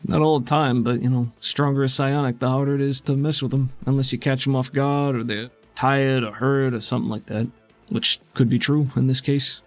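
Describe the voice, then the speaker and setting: A man with a creaky, fry-edged voice narrates as a game master. He speaks conversationally at a middle pitch. Faint music plays underneath. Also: fairly even intonation, a little fast. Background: soft background music.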